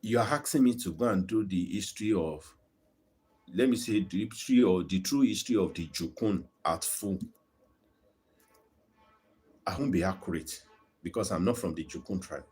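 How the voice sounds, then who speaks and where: A Nigerian man with a Yoruba accent talks straight to his channel's viewers. His voice sits low and a bit husky, and he argues earnestly, circling back and repeating words as he builds each point. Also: moderate pace, long pauses, darkish tone. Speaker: YouTube channel host. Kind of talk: live-streamed opinion talk to viewers.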